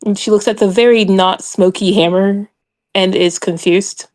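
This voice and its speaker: A woman's voice: medium pitch, steady tone, normal speed, a little mellow, no room sound. A woman playing a tabletop game, narrating and chatting casually in an informal, giggly way. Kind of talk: casual roleplay narration and chat.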